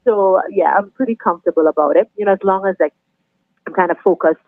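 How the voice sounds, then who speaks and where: A middle-aged woman with a Trinidadian accent, chatting warmly and laughing easily as a guest on a podcast interview. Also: mid-range voice, quick pace, no echo. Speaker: guest, anthem singer. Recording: tinny phone line, dry.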